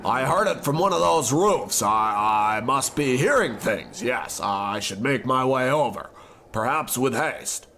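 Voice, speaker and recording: A man acting a well-to-do, pompous, easily exasperated gentleman in an old-time radio horror comedy, formal and fussy. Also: mid-range voice, expressive, a little slow, a little hiss or hum. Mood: uneasy, musing. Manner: formal, fussy, hesitant stammering when rattled.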